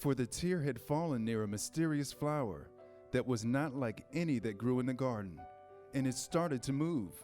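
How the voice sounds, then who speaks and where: A man's voice: medium pitch, expressive, moderate pace, few pauses, a little mellow, faint music behind. A man reading scripted storybook narration in a staged reader's theater style.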